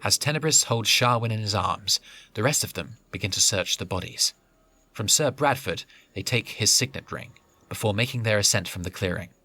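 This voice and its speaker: A man's voice: lowish voice, animated, normal speed, vocal fry, bright, forceful tone, no echo. A man giving scripted narration as the storyteller of a fantasy audio drama.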